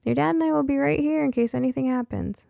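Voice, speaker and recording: A woman voicing a cheerful, caring mother in an audiobook story, gently teasing and reassuring. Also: high voice, quick pace, gravelly, dry recording, pitched above the performer's own voice. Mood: encouraging. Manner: chuckling, reassuring, coaxing.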